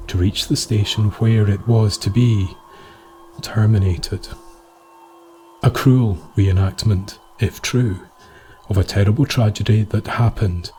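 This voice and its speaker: A Scottish man reading a scripted dark tale as a storyteller, with solemn, deliberate phrasing. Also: lowish voice, a little hiss or hum.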